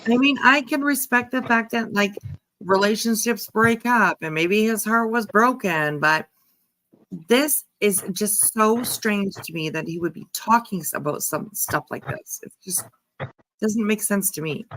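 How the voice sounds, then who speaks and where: A woman host giving casual reaction commentary, musing in a halting, repetitive, emphatic way. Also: medium pitch, a bit bright, a little hiss or hum, low-quality recording. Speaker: host giving commentary on a true-crime case.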